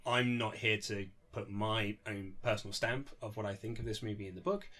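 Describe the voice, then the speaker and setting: A man's voice: on the low side, steady tone, moderate pace, pushed and bright. A man chatting casually and emphatically as an author being interviewed, in an informal way. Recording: lo-fi amateur interview setup, music underneath.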